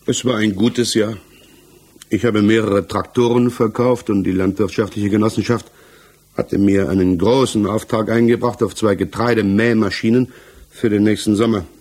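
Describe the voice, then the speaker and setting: Guarded village businessman, low husky voice, subdued, evasive, radio crime drama. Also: fairly even intonation, normal speed, darkish tone. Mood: matter-of-fact. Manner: guarded, matter-of-fact answers. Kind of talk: 1960s German radio play acting, interrogation dialogue.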